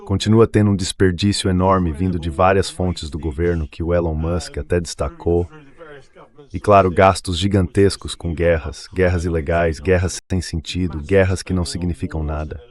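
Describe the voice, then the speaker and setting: A deep-voiced man talks politics as a guest on an interview show. His delivery is conversational and emphatic, repeating phrases to press the point. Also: fairly even intonation, moderate pace, darkish tone.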